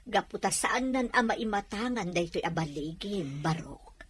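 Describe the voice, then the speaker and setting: A woman's voice: mid-range voice, animated, normal speed, close to an everyday voice. A woman playing an admiring, wistful parent of a grown son, with an Ilocano accent in an audio drama. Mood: proud, wistful.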